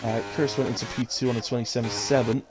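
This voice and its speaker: A man's voice: mid-range voice, little pitch movement, quick pace, music in the background, rough recording. A man with a chest infection giving informal live sim racing commentary and casual chat as the host, in short running phrases.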